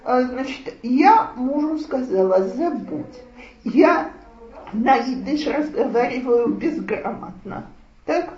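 A woman lecturer speaks slowly and deliberately, with a voice a little higher than most and frequent pauses to gather her thoughts. The recording is roomy and muffled, as if made in a lecture room full of listeners. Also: animated, a little mellow. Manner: slow, measured, with frequent thinking pauses. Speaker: lecturer on child rearing. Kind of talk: lecture with audience discussion.

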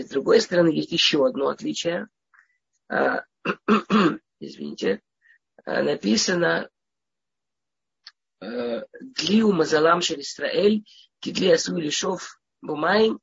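Woman w a cold teaching a lesson, stammers n restarts a lot, raspy gravelly voice, muffled audio. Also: sits low, expressive, unhurried, pauses often. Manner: hesitant, with frequent stammers and restarts. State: has a cold. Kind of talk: informal religious lesson.

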